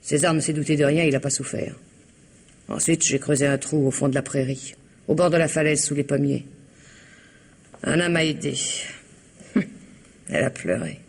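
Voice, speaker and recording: An actress in a vintage radio drama playing a cool, defiant wife from the country who answers in curt, flat replies. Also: female voice, low voice, normal speed, pauses often, husky. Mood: cold.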